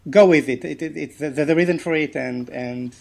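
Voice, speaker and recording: A man's voice: fairly high pitch, moderate pace, gravelly, darkish tone. A man whose first language is Hebrew, a guest on a podcast interview, speaking English hesitantly with many repeated words and restarts. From Israel. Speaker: guest, game translator. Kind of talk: podcast interview over a call.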